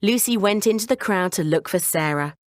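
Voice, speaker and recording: A woman narrating a scripted children's bedtime story in a measured, clearly phrased way. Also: medium pitch, lively intonation, dry recording, studio quality.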